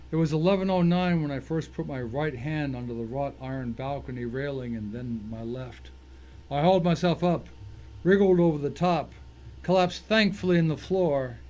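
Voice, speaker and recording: A man's voice: medium pitch, a little mellow, a little hiss or hum, rough recording. A man reading a scripted first-person thriller story as its narrator, in a dramatic, suspenseful way.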